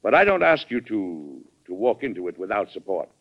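Calm american president, dry humor, old radio drama, muffled sound. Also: male voice, lowish voice, a little fast. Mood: measured, resolute. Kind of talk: classic radio drama acting. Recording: dull old radio recording.

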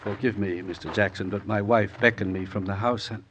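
An actor playing an aging, vain but genial exiled Russian revolutionary, deliberate and halting, in an old radio drama. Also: male voice, lowish voice, steady tone, a bit bright, natural voice. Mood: genial, courtly. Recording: vintage radio recording with faint noise.

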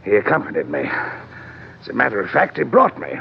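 A fat, smug villain of classic radio drama, menacing and pompous. His voice is heavily gravelled and he picks ornate words with care. The old recording is muffled, with some noise. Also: on the low side, huge pitch swings, heavily acted. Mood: smugly menacing. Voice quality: gravelly, creaky. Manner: pompous, ornate, deliberate wording. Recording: dull, noisy vintage radio recording.

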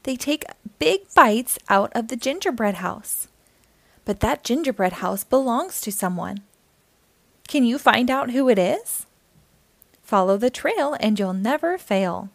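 Animated high-pitched woman reading to children. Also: unhurried, creaky, dry recording. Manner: animated, encouraging, addressing young listeners directly. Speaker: children's storytime host. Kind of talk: read-aloud children's story narration.

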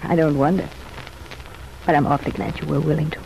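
An actress playing a contrite, earnest young ex-wife in an old-time radio soap opera, speaking in a confiding, measured way. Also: female voice, low voice, little pitch movement, vocal fry, darkish tone. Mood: gently confiding. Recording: noisy, band-limited vintage radio recording.

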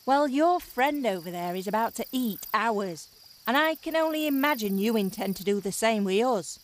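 A woman acts out a sharp-tongued female elf in a fantasy comedy audio drama. The voice is high and very expressive, blunt, impatient and sardonic. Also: moderate pace, close to an everyday voice. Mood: suspicious.

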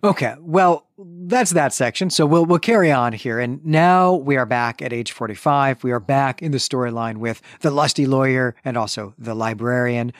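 A man's voice: mid-range voice, normal speed, hardly any pauses, dry recording, clean studio sound. A man co-hosting a book podcast, methodically summarizing and reflecting on a novel's chapters. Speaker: podcast co-host. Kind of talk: casual book discussion.

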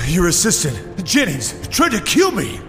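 A man performs an alarmed, bewildered businessman in an audio drama. He speaks slowly with extremely animated pitch. Music plays behind him and the sound has a long reverb. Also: heavily acted. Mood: frightened, shocked. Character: alarmed, bewildered male businessman, the intended victim.

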